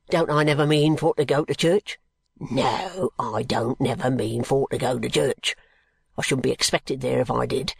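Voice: mid-range voice, gravelly, breathy edge, dry recording, pitched below the performer's own voice. A narrator voicing a surly, drunken working-class brickmaker, blunt and morose, in dialect speech, as in an audiobook. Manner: dialect speech, blunt, self-answering rhetorical questions.

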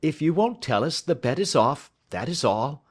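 Audiobook part: shrewd gentleman sleuth, played by a man, nonchalant and courteous, pitched up with a lilting swing. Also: sing-song, moderate pace, breathy edge. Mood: careless, nonchalant. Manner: careless, polite, lilting. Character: cool, shrewd gentleman detective needling for information. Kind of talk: audiobook character dialogue.

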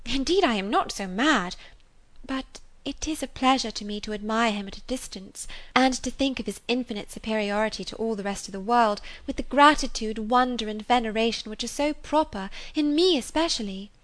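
An impressionable young woman in love from a classic storybook, acted out by a woman reader. She speaks in a raised pitch, with breathless emphasis and gushing earnestness. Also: moderate pace, natural voice. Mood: rapturous. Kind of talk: dramatised audiobook character reading.

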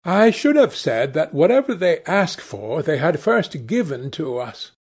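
Man voicing an ardent young gentleman, high and lilting. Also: big pitch swings, a little slow, slightly rough, exaggerated voice. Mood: earnest. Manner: fervent, earnest. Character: earnest young gentleman, ardent, idealistic and impetuous. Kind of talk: audiobook character dialogue.

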